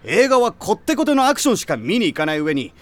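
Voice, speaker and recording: A man playing a brash, excitable high-school boy in an anime audio drama, talking in rough, theatrical youthful slang. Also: quick pace. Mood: matter-of-fact. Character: brash, loud high-school boy chairing a club meeting.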